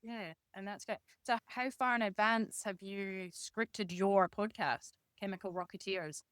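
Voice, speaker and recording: A woman's voice: mid-range voice, a little slow, fairly bright tone, clean tone, no echo. A woman hosting a casual podcast interview in an encouraging, affirming manner. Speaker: podcast host.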